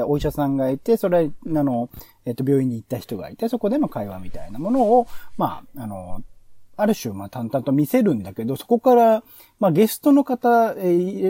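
A man's voice: on the high side, warm tone, dry recording. A man co-hosting a podcast, casually reviewing audio programmes in a hedging, self-correcting explanatory way. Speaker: podcast co-host.

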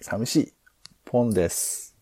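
A man's voice: mid-range voice, unhurried, dark tone, slightly rough, no echo. A man co-hosting a podcast, chatting casually in a discussion. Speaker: podcast co-host.

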